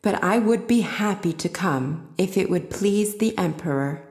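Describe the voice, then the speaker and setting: A woman voicing a gentle, polite nightingale in a storybook fairy tale, modest and gracious. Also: mid-range voice, expressive, moderate pace, reverberant, natural voice.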